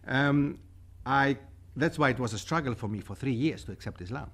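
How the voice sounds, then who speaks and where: Lively, storytelling man in his forties, a lecturer from Germany, speaking at a table mic in a room with a projection screen. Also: mid-range voice, moderate pace. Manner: animated, gestures with both hands, tells stories. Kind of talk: university talk with audience questions. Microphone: table mic on a stand.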